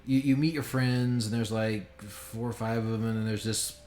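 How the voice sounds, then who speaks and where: A man's voice: on the low side, steady tone, moderate pace, a crowd in the background. A man giving casual, joking and sometimes profane commentary as a game streamer. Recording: roomy echo with faint background noise.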